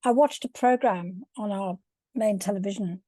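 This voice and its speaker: A woman's voice: medium pitch, moderate pace, warm tone, dry recording. An older woman coach sharing a comment in an online discussion, hesitant and searching for words. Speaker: coach and supervisor. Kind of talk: video call discussion. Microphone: video call.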